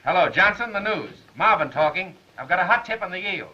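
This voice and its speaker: An actor playing a gruff, impatient, skeptical male newspaper boss in a 1930s film. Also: sits high, lively intonation, brisk, pushed and bright, full character voice. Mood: urgent, brusque. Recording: dull 1930s film soundtrack.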